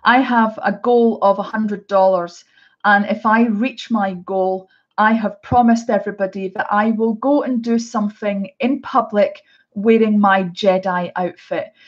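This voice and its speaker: A woman's voice: mid-range voice, steady tone, unhurried, lo-fi sound. A middle-aged Scottish woman chatting casually through a live unboxing, with many hesitations and restarts. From Scotland. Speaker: art vlogger.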